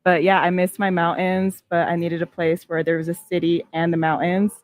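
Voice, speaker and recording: A woman's voice: on the low side, flat delivery, normal speed. A woman from California, an activist guest in a casual interview chat, earnest and passionate, laughing often.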